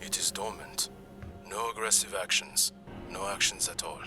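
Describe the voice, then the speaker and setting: Man acting a deep, stolid alien crewman. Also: steady tone, moderate pace, over music, natural voice. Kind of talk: science fiction audio drama acting.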